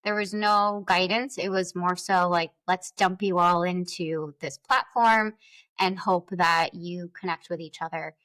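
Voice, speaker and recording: A woman's voice: lowish voice, steady tone, unhurried, fairly bright tone, no room sound, rough recording. A woman speaking as a podcast guest, telling experiences and giving advice in an emphatic, conversational way. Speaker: podcast guest, influencer marketer.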